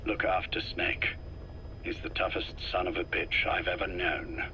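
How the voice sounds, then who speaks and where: A man acting as a shadowy intelligence spymaster in a video game drama, speaking politely and with measured, quiet menace. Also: low-pitched, completely flat delivery. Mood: quietly persuasive. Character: shadowy male intelligence spymaster, cool, courteous, calculating. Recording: faint background hiss and noise.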